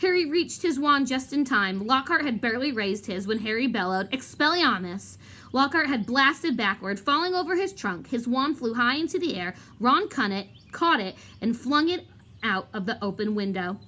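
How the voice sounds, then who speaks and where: A woman who teaches young children, speaking in an expressive read-aloud style. Her voice is fairly high and moves around a lot in pitch, with an informal classroom feel. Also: moderate pace. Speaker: fourth grade teacher. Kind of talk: expressive read-aloud narration and casual address to pupils.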